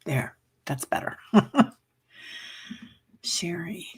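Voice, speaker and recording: A woman hosting a livestream, chatting casually and rambling with many fillers, reading out viewer comments, coughing and a bit hoarse. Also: fairly low pitch, animated, very slow, whispered. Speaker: livestream meditation host. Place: home livestream.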